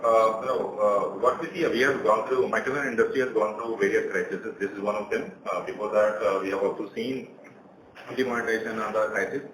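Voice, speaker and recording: A man with an Indian accent answering as a bank executive on an earnings call, halting and repeating his words. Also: fairly low pitch, fairly even intonation, fairly bright tone. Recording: echoey, distant, faintly noisy conference line.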